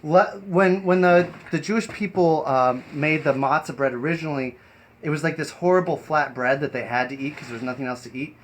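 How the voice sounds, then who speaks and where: A man's voice: a little high, brisk. A man hosting an improvised live stream, chatting and explaining things in a rambling, hesitant way. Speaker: live stream host and performer. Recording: noisy live stream with ambient sound.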